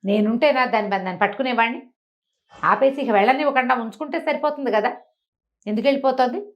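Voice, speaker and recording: A woman's voice: fairly high pitch, fast, muffled recording, close to an everyday voice. Woman playing a boastful village man. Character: bragging, bold village men, brothers-in-law.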